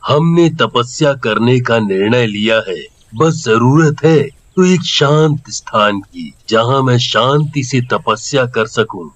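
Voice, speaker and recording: A man playing a powerful tantric holy man in a horror story, speaking formally, calmly and courteously. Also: mid-range voice. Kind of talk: acted Hindi horror audio story.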